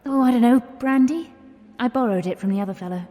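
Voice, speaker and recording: A woman's voice: fairly high pitch, fast talker, mellow, close to an everyday voice. An actress playing a nervous but plucky young English lady in 1920s radio theatre, polite and hesitant with dry understatement. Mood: dry, defensive. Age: young adult. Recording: long echoing reverb, music underneath.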